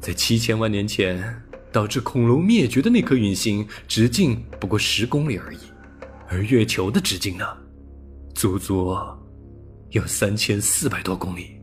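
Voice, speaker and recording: A man's voice: fairly low pitch, expressive, normal speed. A man reading a science fiction audiobook as narrator, in a scripted, dramatic storytelling style. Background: music.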